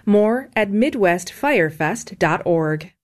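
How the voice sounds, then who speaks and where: A woman announcing a sponsor message for a public radio station in a clear, scripted delivery. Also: mid-range voice, expressive, slow.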